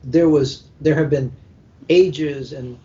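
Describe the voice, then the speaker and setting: An older American man, a book editor in a panel conversation, speaking in a deliberate, halting, professorial way. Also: steady tone, warm tone. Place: hotel room at a convention, internet call. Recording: muffled internet call, rumbling noise.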